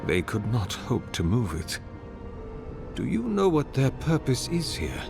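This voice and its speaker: An actor playing an ancient, solemn oracle in a fantasy game, speaking in a formal, measured and grandiloquent manner. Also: male voice, medium pitch, wildly swooping pitch, gravelly, full character voice. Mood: courtly, troubled. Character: ancient male oracle, courtly, solemn servant of a god. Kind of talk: fantasy video game dialogue. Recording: music behind the voice. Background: game music.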